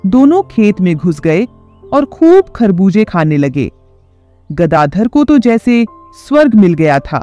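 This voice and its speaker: A narrator reading a children's moral story in an animated, lively voice. The tone is warm and mellow, and the pace is unhurried. Soft music plays underneath. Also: medium pitch.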